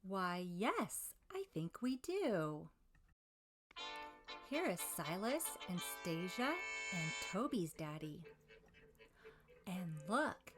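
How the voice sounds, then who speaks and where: A woman reading a storybook aloud for young children, with deliberate, dramatic phrasing and drawn-out emphasis on key words. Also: medium pitch. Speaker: children's storybook reader and presenter.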